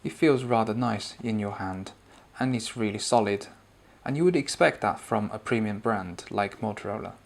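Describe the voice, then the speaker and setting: A man's voice: mid-range voice, creaky. A man giving a conversational, explanatory spoken product review and demonstration.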